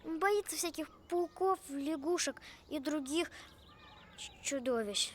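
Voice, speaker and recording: A woman voicing an earnest, curious schoolgirl with a taste for adventure in an animated film. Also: high-pitched, slow, a little hiss or hum. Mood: reluctant.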